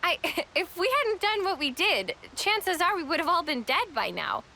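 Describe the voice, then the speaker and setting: A flustered, anxious young female soldier from a video game. She stammers in a very high, animated, sharp voice with faint hiss. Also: normal speed, heavily acted. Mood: flustered, uncertain. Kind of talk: video game cutscene acting.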